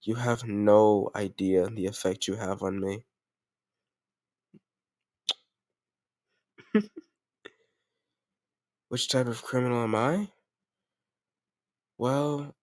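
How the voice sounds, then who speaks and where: A man's voice: mid-range voice, lively intonation, slow pace, pauses often, darkish tone, dry recording. A man playing a doting but ruthless mafia boss in an intimate audio roleplay, commanding yet affectionate, using gangster slang. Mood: tender, teasing.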